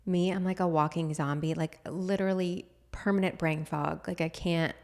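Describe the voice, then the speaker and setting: A woman in her thirties co-hosts a podcast in a relaxed, chatty way, laughing often and filling her talk with "like". Her voice sits a little low and sounds a touch hoarse, with a lingering froggy throat. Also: normal speed. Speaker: podcast co-host. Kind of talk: casual chat.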